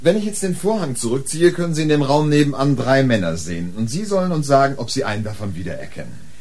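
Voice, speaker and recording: An actor playing a matter-of-fact, reassuring police officer in a radio crime drama. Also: male voice, mid-range voice, very animated, fast talker, a little mellow, a little hiss or hum. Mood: calm, reassuring.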